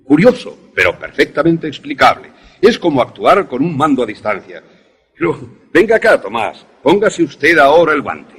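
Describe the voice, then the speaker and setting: Theatrical professor, lively swooping intonation, slightly husky, acted by a man. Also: on the high side, full character voice. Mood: eager, instructive. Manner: didactic, theatrical, deliberate. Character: enthusiastic male professor demonstrating an invention to students. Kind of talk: suspense radio drama acting.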